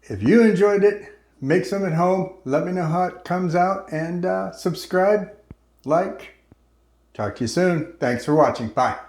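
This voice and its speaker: Laid-back guy making little pizzas in his kitchen, talks slow, jokes around a bit. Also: high voice. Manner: relaxed and chatty, slips into a joking mock-Italian accent. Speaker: home cooking video host. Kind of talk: casual cooking demonstration.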